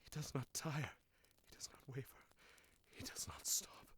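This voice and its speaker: A man playing a fearful, awed necromancer minion in a fantasy audio drama, pleading and trailing into a hush. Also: medium pitch, fairly even intonation, measured pace, slightly rough, no echo, whispered. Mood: fearful awe.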